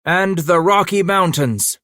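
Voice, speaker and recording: A man's voice: sits high, slow, pushed and bright, dry recording, clean studio sound, pitched above the performer's own voice, heavily acted. A man voicing a commanding middle-aged caravan leader with a Scottish accent, giving formal, emphatic orders. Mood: rousing.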